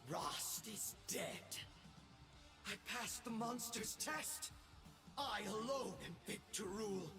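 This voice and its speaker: A megalomaniac young emperor from a video game, gloats in a theatrical, high voice that leaps wildly. He is slow and imperious, dropping from shouts to hushed menace, with music behind him. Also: lively intonation, far from the mic, full character voice. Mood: menacing, exultant. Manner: grandiose, arrogant, swinging between shouts and hushed menace. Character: megalomaniac young self-crowned space emperor, gloating and unhinged.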